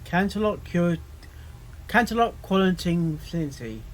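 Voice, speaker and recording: A man's voice: high-pitched, expressive, moderate pace, low-quality recording. A man giving casual reaction commentary, muttering along and asking musing questions as a video host.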